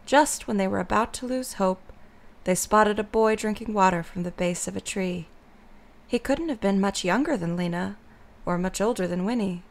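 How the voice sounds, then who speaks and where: A woman's voice: medium pitch, normal speed, creaky. A woman narrating a scripted story as the narrator of an audio drama.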